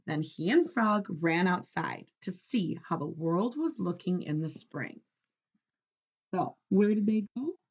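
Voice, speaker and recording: A woman reads aloud like a teacher with young children. Her pitch moves around a lot and her pace is unhurried, with questions to check they follow. The recording is slightly dull. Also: husky. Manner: expressive, instructive, checking understanding.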